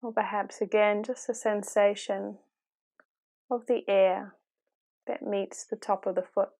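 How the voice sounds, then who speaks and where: A woman leading a guided meditation in a calm, unhurried, gently instructive way, with long pauses. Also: mid-range voice.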